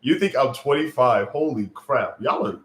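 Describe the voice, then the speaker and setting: A man's voice: medium pitch, expressive, moderate pace, fairly bright tone. Chatty man streaming in a roomy echo. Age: late 30s. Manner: loose, chatty, joking with chat, lots of fillers. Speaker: livestream host. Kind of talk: casual livestream chat reading viewer comments.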